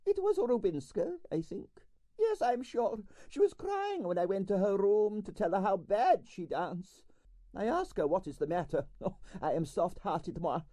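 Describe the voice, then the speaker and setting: A man voicing a vain, bossy older Russian ballerina in a storybook, with a Russian accent, shrill falsetto and dramatic broken English. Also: lively intonation, unhurried, dark tone, dry recording. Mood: breezy, dramatic.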